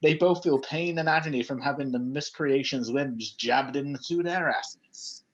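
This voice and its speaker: A man's voice: mid-range voice, a little slow, highs cut off, slight background noise. A man reading fan fiction aloud as comedy, breaking off for joking asides. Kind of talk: comedic read-aloud of a story.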